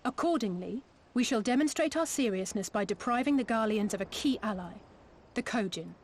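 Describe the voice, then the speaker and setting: An actress playing a shrewd, confident young negotiator in a video game, with formal, eloquent, old-fashioned phrasing. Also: female voice, a little high, animated, a little fast, a little hiss or hum. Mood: confident, persuasive. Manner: formal, articulate, archaic phrasing.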